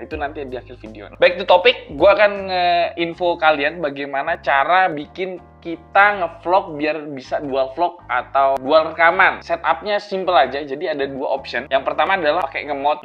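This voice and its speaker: A man explains things to viewers as a vlogger, in an informal, chatty, step-by-step way. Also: fairly high pitch. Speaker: vlogger, tech reviewer. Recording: dull lo-fi sound under music.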